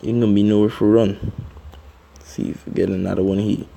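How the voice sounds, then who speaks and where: A man hosting a religious commentary, slowly reading scripture and adding remarks with many fillers and repeated "right?". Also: on the low side, level tone, vocal fry, mellow. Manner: reads scripture slowly, filler-heavy, keeps asking "right?".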